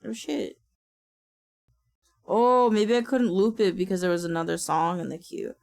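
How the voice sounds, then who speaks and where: A woman streaming from her desk, chatting casually. She sounds tired and a little raspy, swears freely and laughs often. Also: mid-range voice, a little slow, darkish tone, dry recording, studio quality. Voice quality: raspy when sleepy. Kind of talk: casual livestream chat.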